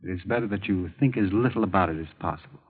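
A grave doctor who weighs every word and gives little away. The deep, husky voice comes through a thin, phone-like old radio recording. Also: male voice. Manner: measured, formal, withholding. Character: grave, reserved male doctor, middle-aged and evasive. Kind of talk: 1940s radio drama acting.

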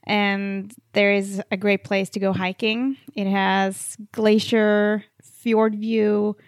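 A woman from Norway with a Norwegian accent, chatting casually and softly, often trailing off between phrases. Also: medium pitch, little pitch movement, takes it slowly, clear, resonant tone, no room sound, clean studio sound. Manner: soft-spoken, hesitant, trailing off between phrases. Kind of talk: casual chat.

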